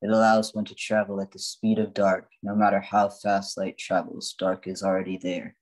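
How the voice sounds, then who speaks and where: A man playing a matter-of-fact robot bartender and inventor in a radio spoof, delivered deadpan. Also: low voice, moderate pace, rough recording. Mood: earnest, deadpan.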